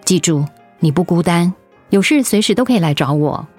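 An actress playing a caring, gently earnest cancer survivor advising a colleague in a radio drama. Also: female voice, fairly low pitch, animated, normal speed, soft background music. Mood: gentle, encouraging. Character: caring older female colleague who survived breast cancer.

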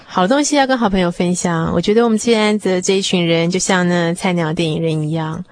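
A woman addresses radio listeners in an informal way. Her voice is a little lower than average. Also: quick pace, slight background noise. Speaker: guest on a radio programme.

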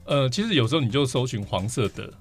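Fast-talking Taiwanese Mandarin man, explaining casually. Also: medium pitch, sing-song, husky, faint music behind. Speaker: podcast guest, vinyl record collector. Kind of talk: casual podcast interview, explaining and recounting.

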